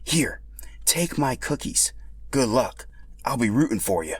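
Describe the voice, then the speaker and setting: A man voicing a secretive, supportive female dinosaur in a storybook tale, hushed and conspiratorial. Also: medium pitch, animated, measured pace, breathy edge, dry recording.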